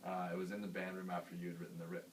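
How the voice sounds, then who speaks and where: A man who sings in a band, with a deep voice, chatting casually and earnestly about writing a song. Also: completely flat delivery, rapid-fire. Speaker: band singer. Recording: echoey room, distant mic, faint hiss.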